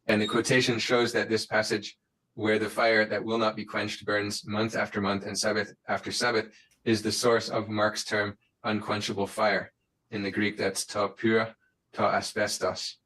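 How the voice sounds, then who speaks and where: A man with a low, flat voice reads a prepared critique aloud. His delivery is measured and lecture-like, with little rise or fall. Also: poor audio.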